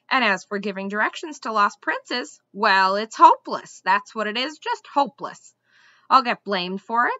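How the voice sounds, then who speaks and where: A woman's voice: high-pitched, sharp tone, no room sound, highs cut off, pitched above the performer's own voice, exaggerated voice. A woman voicing a fussy, indignant, scolding fat squirrel in a storybook, huffy, emphatic and full of complaint. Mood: huffy, fretful. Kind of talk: audiobook character voice.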